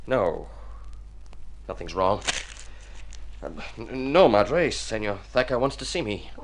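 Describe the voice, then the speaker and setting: A man's voice: fairly low pitch, sing-song, slow, exaggerated voice. A man playing an uneasy young Texan cowboy in a radio drama, plain-spoken and hesitant. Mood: evasive, nervous. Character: uneasy young Texan cowpuncher posing as heir. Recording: noisy old radio drama recording.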